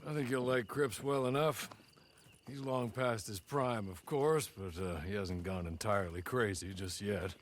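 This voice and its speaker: An actor playing a deferential, loyal manservant in a Western video game, formal and courteous in his measured speech. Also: on the low side, a little hiss or hum, close to an everyday voice. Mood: courteous, dry. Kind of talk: video game cutscene acting.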